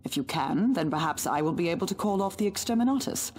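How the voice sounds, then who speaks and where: A woman playing an imperious, threatening Inquisitor in a video game, speaking in a measured, formal way. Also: on the low side, expressive, a little mellow, husky. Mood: stern warning. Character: stern, cold female Inquisitor, imperious and threatening. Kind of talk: dramatic video game cutscene acting.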